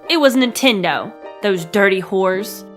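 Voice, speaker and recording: A young woman hosts a comedic gaming series in a scripted, diary-style narration. Her delivery is sarcastic and emphatic, with lively swings in pitch around an average register. Music plays behind her voice. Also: unhurried.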